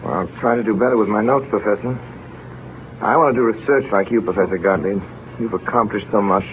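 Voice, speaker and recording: Man playing an earnest deep-voiced scientist, old radio. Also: a little hiss or hum, natural voice. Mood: earnest, admiring. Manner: solemn, measured, earnest. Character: earnest, ambitious male scientist recalling his student years. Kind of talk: 1930s radio drama acting, dramatic first-person narration. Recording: narrow, muffled 1930s radio recording.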